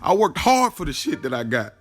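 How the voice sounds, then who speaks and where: A man's voice: on the high side, very animated, fairly quick. An actor playing a wounded, angry young man in an audio drama monologue, emphatic and profane, repeating his phrases. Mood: angry, indignant. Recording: loud music behind the voice. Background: music.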